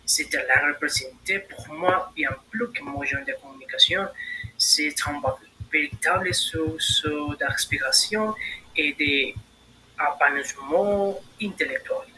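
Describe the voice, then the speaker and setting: Bright-voiced young man. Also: fairly high pitch, animated, takes it slowly, slight background noise, rough recording. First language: Spanish. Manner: recited prepared answers with hesitant fillers. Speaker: candidate in a mock admission interview. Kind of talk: role-played job interview.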